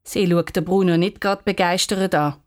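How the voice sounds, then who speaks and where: A woman's voice: fairly low pitch, steady tone, normal speed. A woman with a Swiss accent narrating a scripted children's story. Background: music.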